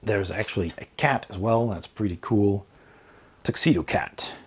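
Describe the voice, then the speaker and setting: A man's voice: on the low side, normal speed, husky. A man hosting a casual unboxing video, thinking aloud and hesitating as he describes each item. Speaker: host of a board game unboxing channel.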